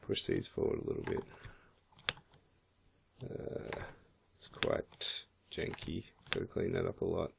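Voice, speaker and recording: A man with a croaky voice, casually thinking aloud as he walks through a 3D modeling software tutorial. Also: deep voice, very animated, takes it slowly, noisy background. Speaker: 3D modeling instructor. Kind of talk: screen-recorded software tutorial.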